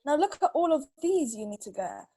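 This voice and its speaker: A woman's voice: sits high, big pitch swings, a little fast, warm tone. A woman chatting casually with a child as a reading helper and reading a children's storybook aloud. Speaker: adult reading tutor helping a child.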